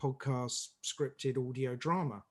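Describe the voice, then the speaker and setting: A man's voice: mid-range voice, steady tone, slow. A man talking casually as an interview guest, explaining things emphatically and acting out what people say. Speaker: audio drama director, interview guest.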